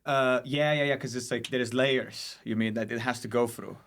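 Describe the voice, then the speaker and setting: A man's voice: medium pitch, fairly even intonation, normal speed, a bit bright. A man with a Lithuanian accent, a stand-up comedian chatting casually as a podcast guest, often restarting and repeating his phrases.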